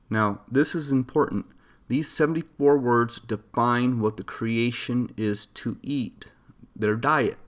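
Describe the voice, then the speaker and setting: A man giving scripted explanatory narration in an earnest, didactic manner. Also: medium pitch. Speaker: video narrator.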